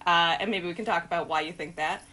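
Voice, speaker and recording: A woman's voice: lowish voice, fast, bright, forceful tone. A woman hosting a casual language quiz video, chatty and upbeat, with frequent laughter in her talk. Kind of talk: casual game-show presenting and chat.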